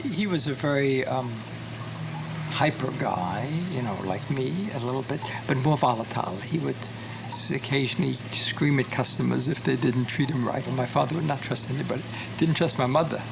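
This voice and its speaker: A man's voice: medium pitch, moderate pace, creaky. An older man revisiting his childhood home, reminiscing in a friendly, chatty way for a documentary. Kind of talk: documentary walk-and-talk. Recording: loud street and traffic noise.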